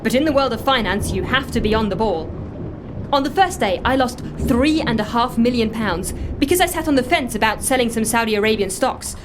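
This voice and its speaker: A woman's voice: fairly high pitch, animated, brisk, noisy background, natural voice. An actress playing an earnest, guilt-ridden woman who confesses and justifies herself in a matter-of-fact way, in naturalistic audio drama. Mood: defensive, earnest. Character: earnest, guilt-ridden woman secretly running a dead man's life. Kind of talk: audio fiction drama acting, naturalistic dialogue.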